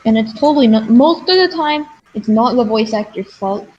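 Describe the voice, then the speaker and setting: A woman with a lisp and a very young-sounding voice, chatting quickly and casually as a co-host on a stream. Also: fairly high pitch, lively intonation, faint music behind. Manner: chatty and quick, with many likes. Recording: dull lo-fi mic with faint noise.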